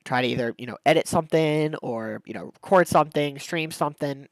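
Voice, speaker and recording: A man's voice: on the high side, a little fast, no room sound. Young male game streamer, stuffed-up nose, loose rambling chat, dry close-mic sound. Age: young adult. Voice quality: congested, nasal from a cold. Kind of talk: casual livestream chat while gaming.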